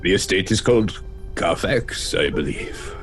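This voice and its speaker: An actor playing an ancient aristocratic vampire count in a horror audio drama, formal and ominously polite. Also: male voice, sits low, animated, measured pace. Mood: ominously courteous. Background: music.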